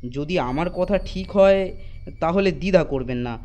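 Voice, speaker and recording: A man voicing a concerned, stern father of a young girl in an animated ghost story, speaking in a firm, questioning way. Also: fairly high pitch, moderate pace, natural voice. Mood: earnest, polite.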